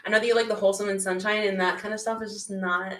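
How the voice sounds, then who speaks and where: A woman's voice: mid-range voice, flat delivery, rapid-fire, a bit bright. A woman chatting casually and conversationally as co-host of an anime podcast. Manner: informal, conversational. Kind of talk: casual chat about anime. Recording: roomy, echoing room sound.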